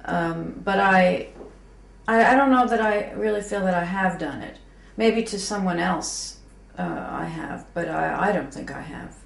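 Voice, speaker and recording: A woman's voice: lowish voice, unhurried, vocal fry. A woman in her fifties, a graphic designer, speaking frankly and self-deprecatingly in an interview, with many fillers and restarts. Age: 50s. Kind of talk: candid reflective interview talk. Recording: echoey room with faint background hum.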